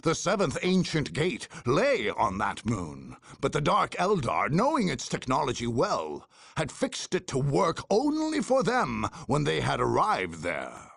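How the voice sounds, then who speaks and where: An actor playing a grave male chronicler, narrating a grim war saga for a video game in a solemn, theatrical, gravelly, husky voice. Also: fairly high pitch, wildly swooping pitch, unhurried, hardly any pauses, fairly bright tone, no echo, heavily acted. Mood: solemn, dramatic. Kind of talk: dramatic scripted game narration. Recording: dry studio voice over music.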